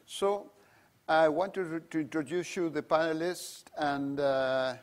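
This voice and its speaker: A man with as his presents at a conference in a somewhat raised voice. He stops often, filling the gaps with ums and restarting his sentences. His English is careful and deliberate. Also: on the high side, steady tone. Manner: halting, many fillers and restarts. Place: conference room.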